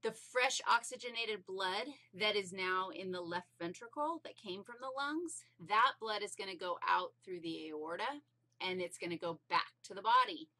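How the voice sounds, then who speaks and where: A woman's voice: mid-range voice, moderate pace, bright, forceful tone. A woman teaching anatomy in an informal, playful and emphatic way, explaining things step by step.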